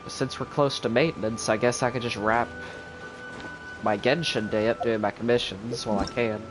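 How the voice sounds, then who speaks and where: A man's voice: medium pitch, fairly even intonation, moderate pace, over music, low-quality recording. A man giving casual live commentary as he streams a video game.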